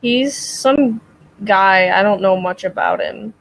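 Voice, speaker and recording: A woman's voice: medium pitch, slow, creaky, low-quality recording. Blunt woman in a live chat. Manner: blunt, dismissive asides.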